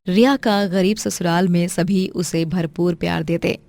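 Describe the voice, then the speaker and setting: A woman's voice: lowish voice, fairly even intonation, unhurried, mellow, dry recording. Woman narrator, dry studio sound. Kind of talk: scripted moral-story narration.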